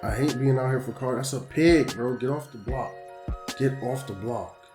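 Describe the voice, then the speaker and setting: A man's voice: medium pitch, normal speed. A young man with a strained, fading voice, giving casual slangy commentary over a football video game and getting frustrated with the plays. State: losing his voice. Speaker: gaming YouTuber. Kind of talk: gameplay commentary. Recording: roomy echo with background music.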